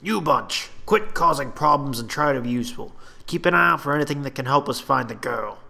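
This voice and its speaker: A man's voice: mid-range voice, lively intonation, a little fast, gravelly, bright, forceful tone, exaggerated voice. A man voicing a seasoned fantasy army captain who speaks firmly and plainly. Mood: exasperated. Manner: firm, commanding, plain-spoken. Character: steady, seasoned male captain of fantasy soldiers.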